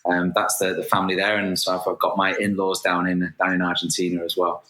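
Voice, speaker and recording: Bright-voiced Manchester man, echoey room. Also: low-pitched, completely flat delivery, fairly quick. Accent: Manchester English. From England. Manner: hesitant, many restarts and ums. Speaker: podcast guest. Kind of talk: conversational interview.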